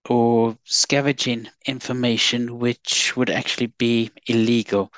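A man from South Africa with a South African accent, a guest expert answering at length in a podcast interview, measured and listing points. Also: mid-range voice, little pitch movement, fairly bright tone, dry recording. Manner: measured, restarts words, lists points.

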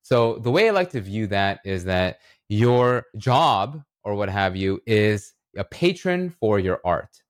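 Low-voiced man explaining ideas, dry close sound. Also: sing-song, takes it slowly, no echo. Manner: reflective, uses analogies and rhetorical check-ins. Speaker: podcast guest, writer and illustrator. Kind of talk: explanatory interview talk.

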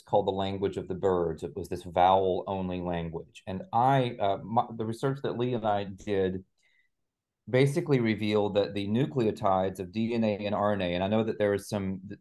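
Low-voiced middle-aged man explaining. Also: dark tone. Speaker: guest, author and healing practitioner. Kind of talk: conversational interview answers.

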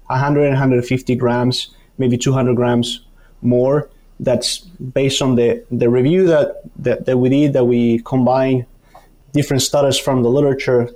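A man's voice: mid-range voice, darkish tone. Argentine man explaining in an interview. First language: Spanish. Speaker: guest, university dairy researcher.

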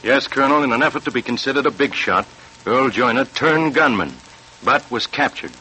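A man's voice: mid-range voice, expressive, brisk, natural voice. A man playing a matter-of-fact police superintendent, narrating formally and emphatically in a 1940s radio crime show. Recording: noisy 1940s radio recording.

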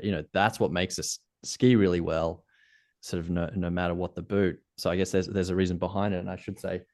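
Low-voiced Australian man talking ski gear. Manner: relaxed, thoughtful, technical about gear. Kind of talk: casual interview chat.

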